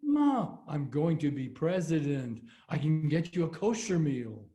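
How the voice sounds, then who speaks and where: A man's voice: fairly high pitch, very animated, unhurried, warm tone. A man playing a patient son coaxing his reluctant mother, read for a readers theater joke. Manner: patient, persuasive.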